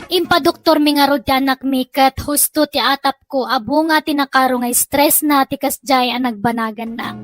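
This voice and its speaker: Woman playing a worried Filipino parent, extremely high voice, emotional and pleading, radio drama, music underneath. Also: fairly bright tone. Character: worried, pleading parent of a troubled grown child. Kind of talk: Ilocano radio-style drama acting.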